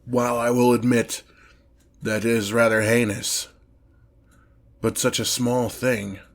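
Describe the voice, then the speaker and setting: A man playing an imperious ancient demon lord in an ASMR fantasy roleplay, speaking in a pompous, theatrical, drawn-out manner, menacing and easily exasperated by mortals. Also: medium pitch, flat delivery. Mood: incredulous, exasperated.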